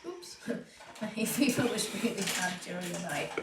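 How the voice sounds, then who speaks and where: A woman's voice: fairly low pitch, expressive, moderate pace. Sniffly woman teaching from afar. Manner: chatty, explains as she works, many fillers. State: sniffly from hay fever. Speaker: cake decorator teaching a live stream. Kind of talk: casual live tutorial. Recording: roomy, distant, faint noise.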